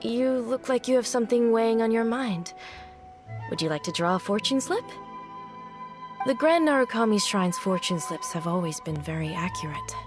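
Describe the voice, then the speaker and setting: A woman voicing a polite, gentle, welcoming shrine maiden in a video game. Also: a little high, lively intonation, moderate pace, lightly acted. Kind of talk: video game character dialogue. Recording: game music behind the voice.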